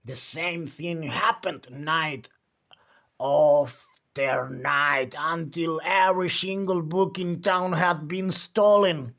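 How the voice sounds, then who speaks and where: A man reading a children's picture book aloud, with theatrical delivery and drawn-out emphasis on key words. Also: a little high, fairly bright tone. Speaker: children's storytime reader.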